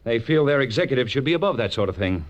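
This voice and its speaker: A man's voice: medium pitch, expressive, fast, lo-fi sound, natural voice. An actor playing an anxious, ambitious businessman in his thirties, hesitant and urgent, in a 1940s radio drama. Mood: tense, urgent. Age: 30s. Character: anxious, ambitious young businessman in a blackmail jam.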